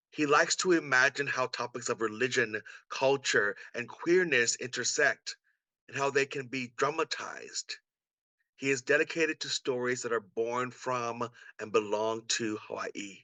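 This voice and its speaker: A man's voice: mid-range voice, pushed and bright, no echo. A man co-hosting an online event in an enthusiastic, welcoming manner, giving introductions and asking questions. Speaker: co-host, artistic producer. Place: indoors on a video call.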